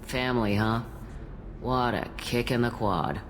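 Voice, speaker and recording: Ancient female alien bartender, game character, gruff, jaded, dry wit, light background noise. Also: very deep voice, a little slow. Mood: wry. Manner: gruff, blunt, wry. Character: jaded, blunt thousand-year-old alien matriarch bartender. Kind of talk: video game character dialogue.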